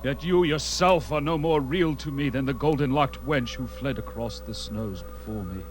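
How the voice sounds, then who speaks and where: A man playing a battle-worn barbarian warrior in an audio drama, intense and bewildered, with halting, archaic speech. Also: mid-range voice, over music, close to an everyday voice.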